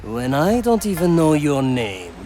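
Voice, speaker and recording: An actor playing a courteous, proud assassin who cooks aboard a ship in a video game, formal and measured. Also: male voice, on the high side, sing-song, warm tone, a little hiss or hum. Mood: composed. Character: courteous, proud Japanese assassin and ship's cook.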